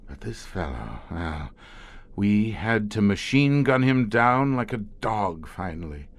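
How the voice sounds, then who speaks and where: An actor playing a weary, grim professor who commands a secret police squad, speaking formally and hesitantly in an audio horror drama. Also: male voice, fairly low pitch, lively intonation. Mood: grimly matter-of-fact. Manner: measured, hesitant, formal, darkly matter-of-fact.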